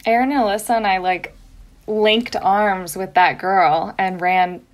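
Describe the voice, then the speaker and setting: A woman's voice: mid-range voice, fairly even intonation, a little slow. A young American woman casually recounting something that happened to her and friends. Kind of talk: casual recollection.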